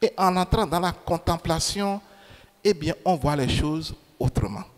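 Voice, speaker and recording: A man's voice: fairly high pitch, unhurried. A man preaching a sermon in French, which is not his first language. He repeats key phrases and puts questions to the congregation. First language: another language than French. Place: church. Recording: church reverb. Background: long hall reverb.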